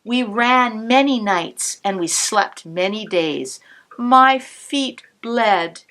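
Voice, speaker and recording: Slow, lively-voiced woman reading storybook. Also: fairly high pitch. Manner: slow, expressive storybook reading with soft whispered asides. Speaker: cantor reading a picture book to children. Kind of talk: read-aloud storytime with asides to kids.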